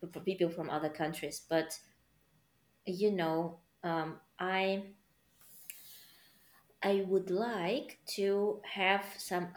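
Woman, slow and thoughtful, kinda low voice, echoey room. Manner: slow, reflective, searching for words. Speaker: conversation participant. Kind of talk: casual chat.

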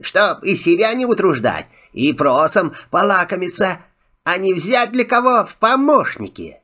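A sly sing-song rabbit. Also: male voice, sits high, measured pace, heavily acted. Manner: wheedling, flattering, sing-song. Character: sly, chatty little male rabbit trickster. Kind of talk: filmstrip audio drama.

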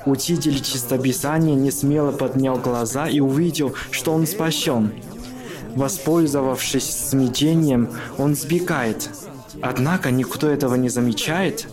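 Mid-pitched male Chinese-native narrator. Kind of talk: scripted radio play narration.

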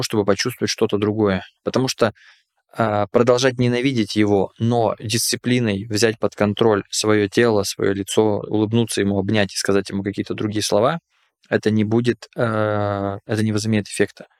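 A man's voice: on the low side, level tone, normal speed, no room sound, clean studio sound. A man hosting a podcast in casual conversation, explaining ideas in a hesitant, reflective way with many fillers. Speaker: podcast host.

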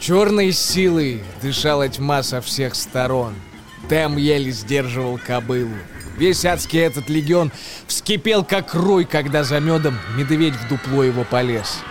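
An actor voices a wry male storyteller in a radio drama. He recites ghoulish verse expressively and with agitation, in a medium-pitched voice. Music plays clearly behind him. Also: unhurried, lightly acted. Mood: urgent. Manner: theatrical, expressive. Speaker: actor narrating a verse tale.

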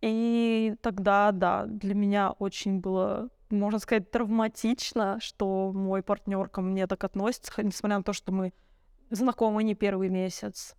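A young woman with a smooth, clean voice recorded close and dry. She speaks as a podcast guest, candidly sharing personal stories. Her manner is reflective, and she often feels for the right word. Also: medium pitch, level tone, normal speed, a little mellow, no room sound. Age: young adult. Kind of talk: candid conversational storytelling.

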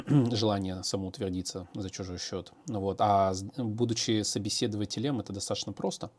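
A man's voice: lowish voice, steady tone, brisk, darkish tone, slightly rough. A man, a programmer, answering viewer questions in a casual, hesitant monologue with many fillers and restarts.

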